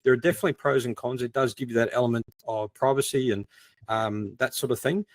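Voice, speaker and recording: A man's voice: medium pitch, steady tone, fast talker, no room sound. Chatty man with a dry, close sound. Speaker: podcast co-host and crime writer. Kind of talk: casual conversational anecdotes.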